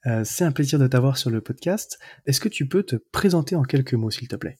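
A man's voice: mid-range voice, expressive, a little fast, a little mellow, husky, dry recording, clean studio sound. A man hosting a podcast, questioning a guest in a hesitant way, with lots of fillers and restarts. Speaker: podcast host. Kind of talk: interview conversation.

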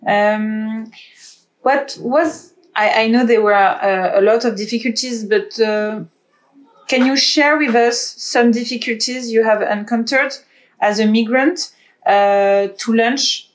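A woman's voice: a little high, takes it slowly. Woman hosting, encouraging questions. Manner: encouraging, asks careful questions. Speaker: podcast host. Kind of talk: casual interview.

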